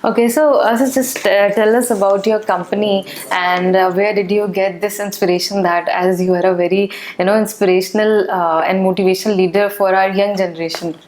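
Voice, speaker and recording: A woman with a Pakistani accent hosting a business interview, asking questions in a brisk manner with many fillers. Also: medium pitch, steady tone, a little mellow. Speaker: interview host.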